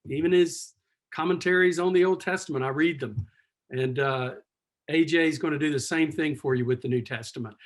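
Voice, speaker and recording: A man's voice: a little high, fairly bright tone. A middle-aged man, a pastor, hosting a call in an emphatic, folksy way. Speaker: host, pastor. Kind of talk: casual hosting on a video call.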